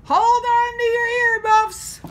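A woman voicing a cheerful, can-do female school bus driver in a children's storybook, talking bright, eager and emphatic. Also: very high voice, sing-song, takes it slowly, voice pushed up, full character voice. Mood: hollering.

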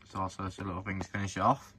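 Male hobby reviewer, low-pitched but bright and forward, casual and explanatory delivery. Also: little pitch movement, racing, slight background noise. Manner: informal, explanatory, addressing viewers directly. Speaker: presenter of a farm model review channel. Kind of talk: casual hands-on review narration.

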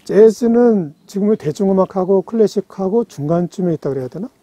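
Quick, bright-pitched man chatting eagerly. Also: lively intonation, dry recording. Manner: eager, explains at length with many fillers. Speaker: music enthusiast guest. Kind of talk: casual chat. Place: outdoors in a rural mountain yard. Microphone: far camera.

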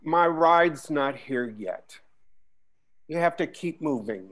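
Calm, insistent crossing guard played by a woman, deep for a woman, plain-spoken. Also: takes it slowly. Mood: calm insistence. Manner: flat, polite, matter-of-fact insistence. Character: unflappable crossing guard, mildly stubborn. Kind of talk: table read acting. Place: at home, video call.

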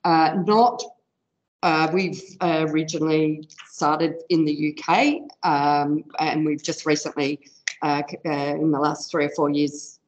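A woman giving a conference talk in an informal, loud-voiced way, with frequent fillers. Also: low voice, steady tone, a little slow, muffled recording. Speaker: conference presenter, software product specialist. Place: conference room.

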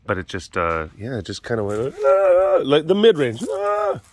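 A man with a slightly hoarse voice rambles casually while filming outdoors at animal enclosures, talking softly to the animals between thoughts. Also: mid-range voice, huge pitch swings, normal speed, no echo. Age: adult, getting older. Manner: rambling and chatty, softening into baby talk with the animals. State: slightly hoarse, partly lost voice. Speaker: big cat sanctuary keeper and vlogger. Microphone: handheld camera mic, speaker off camera.

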